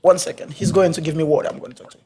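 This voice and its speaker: A man's voice: on the high side, steady tone, very fast, slight background noise. Boisterous man live streaming. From Haiti. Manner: loud, laughing, switches between English, Spanish and French.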